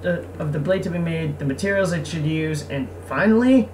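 A woman giving casual game commentary and reading game text aloud, speaking haltingly and repeating words. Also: low voice, darkish tone. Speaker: game streamer. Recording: noisy, distant microphone.

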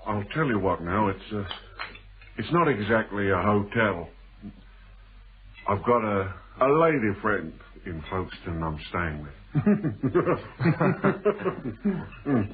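An English actor playing a smooth, sinister man posing as a stranded traveller in a British radio thriller, breezy, knowing and over-familiar. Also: lowish voice, very animated, slow, pauses often. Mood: glib, evasive. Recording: noisy, tinny old radio drama recording.

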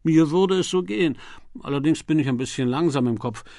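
Man voicing a flustered young student, slightly raised pitch. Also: brisk, mellow, dry recording, clean studio sound, close to an everyday voice. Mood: self-deprecating. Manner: hesitant, exclaiming, thinking aloud. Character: young male medical student, unsure, flustered, self-deprecating.

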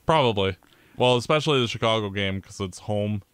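A man co-hosting a casual sports podcast, talking in a gloomy, measured way. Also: lowish voice, fairly bright tone, clean tone, dry recording. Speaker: podcast co-host.

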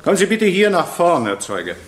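An actor playing a stern, insistent judge in a radio courtroom drama, formal and probing. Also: male voice, sits high, sing-song, normal speed, a little hiss or hum. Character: stern, insistent male judge questioning a witness.

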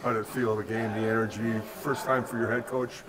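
A male sports reporter asking brisk, short questions in a locker room. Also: lowish voice, little pitch movement, poor audio. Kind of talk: press question. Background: crowd chatter.

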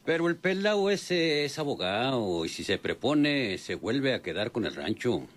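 A man acting a doubtful, comic know-it-all ranch hand with a halting drawl and rural northern Mexican accent, in an old-fashioned radio drama. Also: mid-range voice, sing-song.

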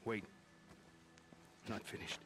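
A man's voice: slow pace, fairly bright tone, soft background music. An actor playing an exasperated work-camp foreman in a video game, argumentative and emphatic. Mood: urgent. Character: exasperated work-camp foreman standing up to his boss, Alkai. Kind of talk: naturalistic game cutscene acting.

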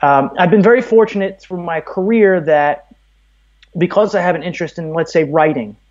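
A man's voice: sits high, moderate pace, dull audio. A man from Brooklyn, telling stories conversationally as a podcast guest. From Brooklyn, New York, USA. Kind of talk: conversational interview, storytelling.

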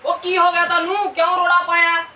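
A blustery, indulgent father acted by a young female performer in a stage play, fairly high and fast. The voice echoes from far off amid clear noise. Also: completely flat delivery. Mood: annoyed. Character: indulgent, anxious Punjabi father. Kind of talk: school stage play acting.

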